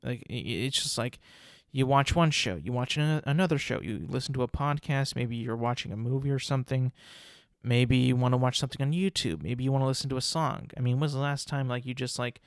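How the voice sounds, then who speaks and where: A man's voice: mid-range voice, fairly quick, dry recording, studio quality. A young man hosting a solo podcast, congested and sniffling, rambling casually with many fillers and restarts. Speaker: podcast host.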